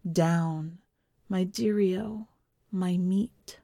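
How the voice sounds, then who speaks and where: Woman doing a spooky loving wife in a story, slow n breathy, chanty, haunted, dry sound. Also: on the low side, fairly even intonation, warm tone, no room sound, full character voice. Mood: eerie, hushed. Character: eerie, lyrical wife, fond and haunted, cryptic.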